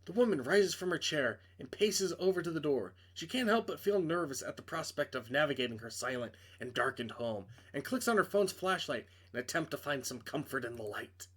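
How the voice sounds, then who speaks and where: A man's voice: on the high side, lively intonation, fairly quick, few pauses, fairly bright tone, husky. A man reading a visual novel aloud as a streamer, narrating dramatically and breaking off into casual, joking asides to correct himself.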